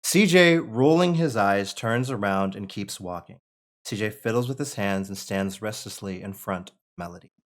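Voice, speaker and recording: A man's voice: on the low side, moderate pace. A man narrating stage directions as part of a script table read.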